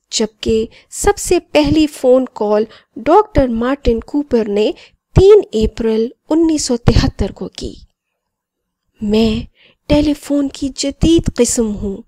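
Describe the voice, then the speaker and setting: A woman voicing a friendly, boastful talking mobile phone that narrates and moralizes to children in an expressive storybook style. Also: high voice, no room sound.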